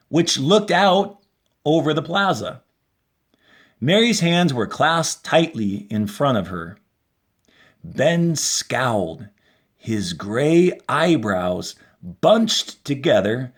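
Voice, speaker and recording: A man, a fourth grade teacher, reading a story aloud to a class in a deliberate, instructional manner. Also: mid-range voice. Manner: deliberate, instructional, clearly announcing paragraphs and quotes. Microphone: handheld camera held while reading.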